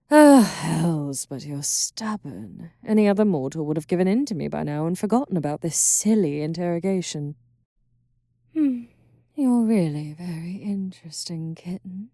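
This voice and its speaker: A woman voicing a sultry, arrogant female succubus in an ASMR roleplay, teasing slowly with breathy whispers. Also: lowish voice, mellow, no room sound, studio quality.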